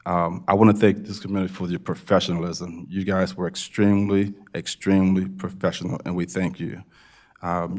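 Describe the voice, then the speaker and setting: An American man giving public remarks as a town board commissioner, halting and repeating phrases for emphasis. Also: low voice, darkish tone.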